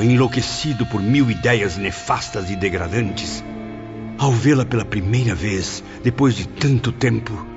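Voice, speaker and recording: Man acting a deep obsessive, vengeful confessor. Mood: tormented. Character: obsessive, vengeful man confessing his past forbidden passion. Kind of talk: dramatised audiobook, first-person confession. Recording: dull lo-fi audio under loud music. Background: loud music.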